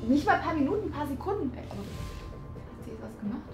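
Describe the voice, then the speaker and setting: A woman's voice: high voice, very animated, fast talker. A woman playing police officer, commenting playfully in an agitated way and repeating her phrases. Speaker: player acting as a police officer. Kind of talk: playful improvised live commentary. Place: small office indoors. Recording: roomy sound with music underneath.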